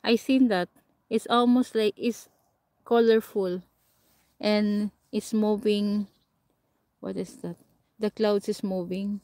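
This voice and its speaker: Slow-talking Filipina woman chatting on a livestream. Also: mid-range voice, fairly even intonation, mellow, no room sound. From Philippines. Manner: chatty, reads and answers viewer comments, laughs often. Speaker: livestream host. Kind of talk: casual livestream chat with viewers. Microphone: camera or phone mic set a short way off.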